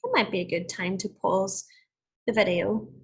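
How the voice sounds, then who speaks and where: A woman with a very husky, breathy voice reads a fable as a teacher would for young children. Her delivery is expressive and deliberate. She explains as she goes and puts questions to the listener. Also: medium pitch.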